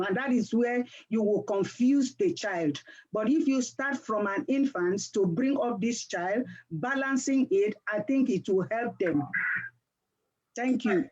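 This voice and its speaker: A woman's voice: a little high, a little mellow, muffled recording. A woman politely explaining her opinion in an informal discussion.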